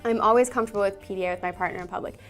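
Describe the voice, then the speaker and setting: A woman's voice: mid-range voice, very fast, soft background music. Playful young Midwestern American woman. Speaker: vlogger. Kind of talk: casual chat while reading out a quiz.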